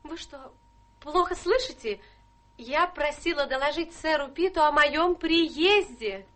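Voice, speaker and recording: An actress playing a young, haughty, ambitious governess in a radio play, with a crisp, theatrical delivery. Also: female voice, high voice, unhurried, fairly bright tone. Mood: indignant. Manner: imperious, crisp, turning sweetly polite.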